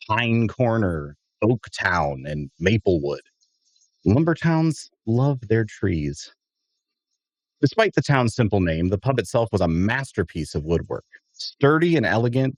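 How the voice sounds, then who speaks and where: A wry adventurer narrates his own story in a fantasy audio drama, read by a man. His voice sits low but moves with lively inflection. He is observant and storytelling in manner. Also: no echo.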